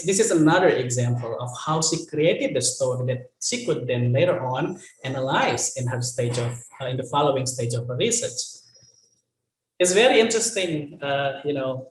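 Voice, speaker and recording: A man from Banten with an Indonesian accent, giving an online university lecture in English in a relaxed way, often saying "you know". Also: medium pitch, normal speed, echoey room, rough recording.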